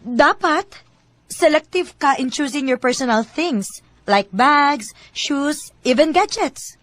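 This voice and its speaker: An actress playing a worldly, chatty female coworker who hands out advice in a radio drama, expressive, with a Cebuano Filipino accent. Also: high-pitched, slow pace, no room sound. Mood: knowing advice.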